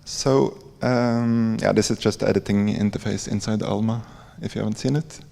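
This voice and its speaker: A man with a Norwegian accent giving an informal conference presentation in a halting, hesitant way, with frequent pauses. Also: fairly low pitch, fairly even intonation, creaky, warm tone. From Norway. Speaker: conference presenter, library coder. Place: conference hall. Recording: echoey hall with long reverb.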